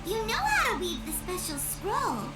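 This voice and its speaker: A woman voicing a tiny, inquisitive, chirpy fairy companion with a squeaky childlike voice in a video game. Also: wildly swooping pitch, measured pace, full character voice. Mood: amazed. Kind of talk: scripted video game voice acting. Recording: distant, with loud game music behind.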